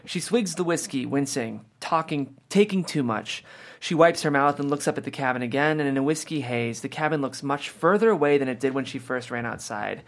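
A man's voice: medium pitch, animated, a little fast. A man reading the scene headings and action of a screenplay as scripted narration. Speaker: stage directions reader.

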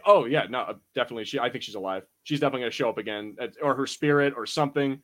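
A man's voice: medium pitch, racing. A man hosting a podcast in a casual, informal, slangy chat style. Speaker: podcast host.

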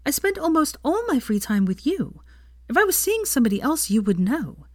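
Woman as an indignant young witch, high and emphatic. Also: big pitch swings, fairly quick, dry recording, clean studio sound. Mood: pointed, curious. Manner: emphatic, pointed. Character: indignant, outspoken young witch, exasperated but fond.

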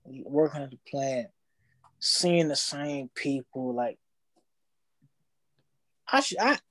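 Animated slangy young man. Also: medium pitch, slow, dry recording, poor audio. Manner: animated storytelling, slangy, acts out his own past thoughts. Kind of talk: casual podcast chat.

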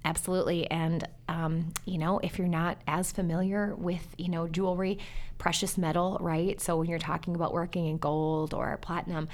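Female podcast host, lower-than-average pitch, conversational and encouraging, comfortable chatting with a guest. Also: flat delivery, moderate pace, a little mellow. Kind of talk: casual conversational interview.

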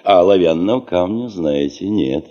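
A self-assured middle-aged male boss of a field expedition in a Soviet radio play. His voice sits low and moves widely in pitch. He probes and insinuates with slow, dry irony. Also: animated, dark tone, dull audio. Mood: deliberate, insistent. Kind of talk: Soviet radio drama acting.

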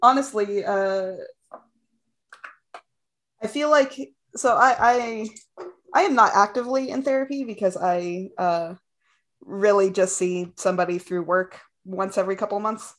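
A woman's voice: medium pitch, measured pace, a bit bright. A woman in casual podcast chat who talks hesitantly and makes fun of herself, with many "um"s. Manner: self-deprecating, hesitant, frequent fillers.

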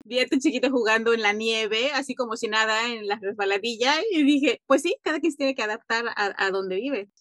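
A woman's voice: on the high side, brisk, hardly any pauses, fairly bright tone, dry recording, clean studio sound. A woman hosting an interview podcast, friendly and encouraging, asking long questions. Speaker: podcast host.

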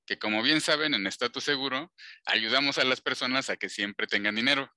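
A man's voice: medium pitch, brisk, sharp tone, dry recording, studio quality. A man hosting a live interview, chatty and informal. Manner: chatty, informal, with conversational tags. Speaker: interview host.

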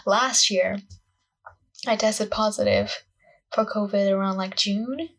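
A woman's voice: mid-range voice, steady tone, slow. A young woman of about twenty from Portugal, speaking with a Portuguese accent in a casual, rambling, self-conscious solo podcast chat, often saying "like". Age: young adult, about 20. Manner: self-conscious, scattered, peppered with "like". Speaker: podcast host, acting student.

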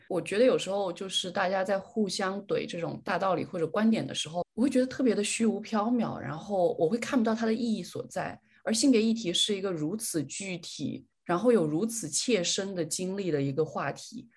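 A woman's voice: medium pitch, animated, fairly quick, hardly any pauses, a little mellow, husky. A woman hosting a podcast, speaking earnestly and reflectively in a casual discussion. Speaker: podcast host steering discussion.

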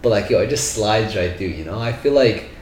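A man's voice: fairly low pitch, brisk, a little mellow. A man, raised in Canada by a Punjabi-speaking family, talking in a rambling, slangy casual chat. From born in India, raised in Brampton, Canada. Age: 20s. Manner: slangy, rambling, full of "yo" and "bro". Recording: roomy echo, faint background noise.

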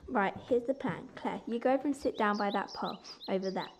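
A woman's voice: medium pitch, a little fast, natural voice. A female performer playing a bossy, threatening teenage bully in a wartime orphanage drama, domineering and sneering.

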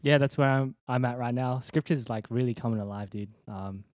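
A man's voice: mid-range voice, fairly quick, darkish tone, dry recording. A man, a church leader chatting in an upbeat, slangy way on a podcast. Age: 20s. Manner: upbeat, slangy, laughs between phrases. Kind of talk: casual podcast chat. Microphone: podcast microphone.

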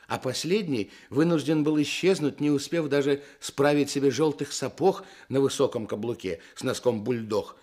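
A wry male narrator of a radio play, played by an actor. He has a medium-pitched voice with very animated intonation, telling the tale in a measured, gently ironic way. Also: natural voice.

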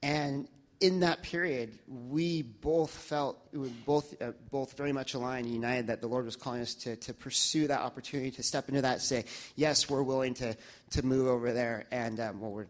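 A young man speaking to his church congregation, reflective and halting, with many restarts and fillers. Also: mid-range voice. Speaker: church member sharing reflections.

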